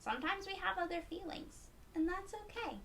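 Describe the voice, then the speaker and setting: A woman reading a picture book aloud to young children in a gentle, encouraging way, speaking directly to them. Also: very high-pitched, expressive, a little fast, vocal fry, a little hiss or hum. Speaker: children's read-aloud storyteller.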